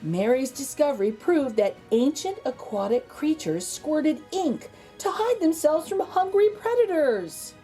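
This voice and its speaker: A woman reading a children's picture book aloud as a storytime host, theatrically, with dramatic pauses and emphasis. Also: sits high, sing-song, mellow, soft background music.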